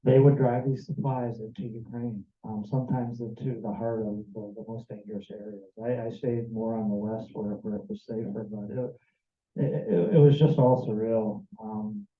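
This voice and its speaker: An American man giving an earnest, meandering personal testimony to a church group, sounding a little jet-lagged. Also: lowish voice. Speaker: church member sharing a mission trip report. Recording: tinny, echoey room recording.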